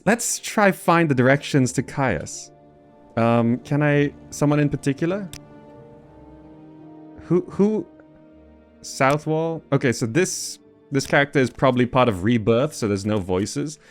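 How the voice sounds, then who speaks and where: A man giving casual gameplay commentary, thinking aloud in a hesitant way as he goes. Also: medium pitch. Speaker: gamer presenting a game mod.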